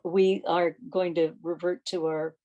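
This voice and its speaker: A woman's voice: fairly low pitch, little pitch movement, measured pace, mellow. A woman hosting an online club meeting, speaking off the cuff in an effusive way with hesitant phrasing. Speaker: meeting host. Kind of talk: unscripted club meeting talk on a video call. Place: remote video call.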